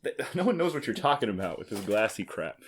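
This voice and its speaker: A man's voice: on the low side, big pitch swings, quick pace, slight background noise. A man hosting a casual, unscripted podcast chat, teasing a little and steering the talk back on topic. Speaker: podcast host. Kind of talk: casual unscripted banter and review.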